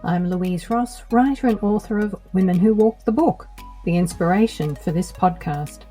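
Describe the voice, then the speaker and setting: A middle-aged woman with an Australian accent, hosting her own podcast in a measured, earnest scripted narration. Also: medium pitch, mellow, soft background music. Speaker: podcast host.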